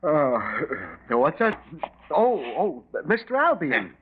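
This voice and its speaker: A man's voice: on the high side, big pitch swings, slow, a little hiss or hum. A man playing a struggling, put-upon garage owner in a 1940s radio drama, defensive, pleading and flustered. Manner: plaintive, flustered, stammering. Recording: dull 1940s radio recording.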